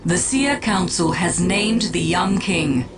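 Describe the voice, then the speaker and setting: A woman playing a calm, calculating alien farseer in a video game, speaking in a measured, formal and imperious manner. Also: fairly low pitch, over music. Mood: cold, assured. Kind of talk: video game cutscene acting.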